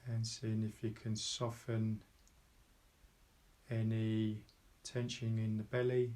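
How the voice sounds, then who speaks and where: Low, even-toned man guiding meditation. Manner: calm, unhurried, pausing between short phrases. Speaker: meditation guide. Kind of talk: guided meditation, slow soft instruction.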